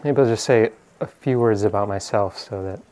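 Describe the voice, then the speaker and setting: Male meditation teacher, American, lowish voice, informal talk to a group, hesitant and searching for words. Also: normal speed, dark tone. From US East Coast.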